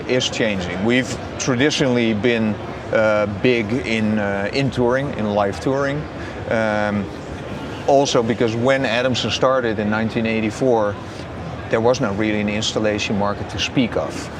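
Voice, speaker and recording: Man interviewed amid clear background noise. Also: on the low side, moderate pace. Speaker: company business strategist being interviewed. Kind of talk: interview answers. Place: open booth in a large busy exhibition hall. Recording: noisy trade show hall ambience.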